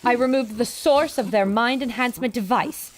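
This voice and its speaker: A woman's voice: fairly high pitch, moderate pace, slight background noise, close to an everyday voice. An actress playing a plucky, bold woman reporter with an earnest, theatrical delivery in a pulp adventure audio drama. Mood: brisk, wondering.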